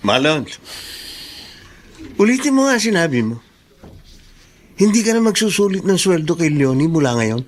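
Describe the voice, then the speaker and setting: A man's voice: fairly high pitch, sing-song, moderate pace, long pauses. An actor with a Filipino accent playing a frank, sensible male friend in a radio drama. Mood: disapproving, advising. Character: frank, sensible male buddy giving firm advice.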